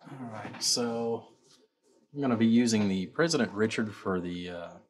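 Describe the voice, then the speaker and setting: A man's voice: lowish voice, normal speed. A man giving a casual, rambling workbench demonstration in a folksy, informal way, like a builder showing his work. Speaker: custom amplifier builder presenting his work.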